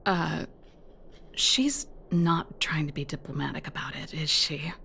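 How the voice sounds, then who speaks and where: Very creaky, wry muttering woman. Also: deep voice, unhurried, sharp tone, slight background noise, full character voice. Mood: whispered, skeptical. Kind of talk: video game character voice acting.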